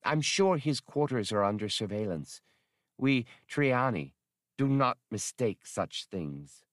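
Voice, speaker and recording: A man's voice: medium pitch, expressive, slow pace, no room sound, studio quality, pitched above the performer's own voice. A man voicing a proud, suspicious feline alien mother in an audiobook, speaking in an urgent, demanding way. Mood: agitated.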